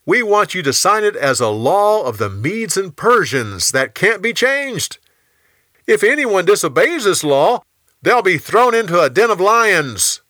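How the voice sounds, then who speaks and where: Man putting on a flattering, conniving royal official for a Bible tale; high, bright voice, oily drawn-out delivery. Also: no room sound, clean studio sound, heavily acted. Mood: fawning. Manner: fawning, drawn-out, feigned reluctance with stammers. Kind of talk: dramatized Bible storytelling.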